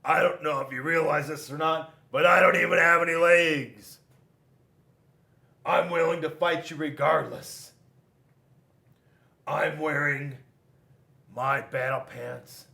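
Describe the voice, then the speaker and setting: A man's voice: on the high side, gravelly, bright, forceful tone, roomy sound, exaggerated voice. A man voicing a boastful storybook rock warrior who declaims pompously and is eager to fight.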